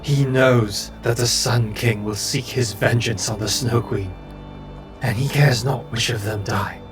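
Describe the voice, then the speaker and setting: A man voicing a weary, sorrowful fox spirit in a tabletop roleplay, with grave and deliberate phrasing. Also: medium pitch, close to an everyday voice. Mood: grave, resigned. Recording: music behind the voice.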